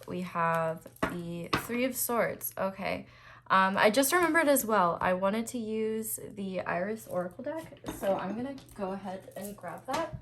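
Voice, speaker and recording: A woman's voice: medium pitch, background noise. A woman with a stuffed-up nose hosting a casual tarot reading, chatty and rambling, with nervous laughs and fillers. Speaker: tarot reader, channel host.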